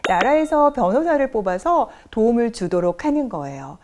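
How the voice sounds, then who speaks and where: Reassuring woman instructor, mellow and animated. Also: on the high side, normal speed. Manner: reassuring, gentle explaining. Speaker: child rights instructor. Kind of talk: friendly explanation for children.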